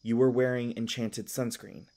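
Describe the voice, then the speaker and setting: A man's voice: medium pitch, flat delivery, moderate pace, dark tone, lightly acted. A man playing a flustered, skittish young elf park ranger in an audio roleplay, stammering and awkward with goofy folksy exclamations. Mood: flustered, stunned.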